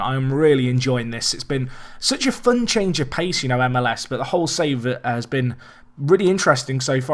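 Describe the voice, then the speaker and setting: A young man with a slightly raspy, hoarse voice, chatting casually as he commentates his own football management game, rambling and restarting and getting excited at big chances. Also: medium pitch. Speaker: let's play host.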